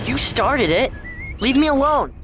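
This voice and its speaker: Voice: high voice, very animated, a little slow, full character voice. An actor playing a frightened, tormented young boy in an audio drama, with a distressed, pleading delivery. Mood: defiant. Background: loud background music.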